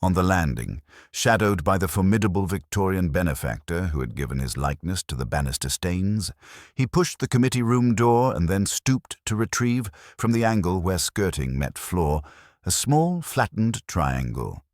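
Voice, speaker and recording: A man reading scripted story narration in a measured, literary storytelling manner. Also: low-pitched, animated, a little mellow, no room sound, studio quality.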